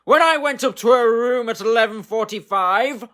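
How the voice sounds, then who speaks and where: A woman's voice: fairly high pitch, normal speed, a bit bright, natural voice. A woman playing a blustering, indignant, haughty married suspect in an amateur comedy whodunnit.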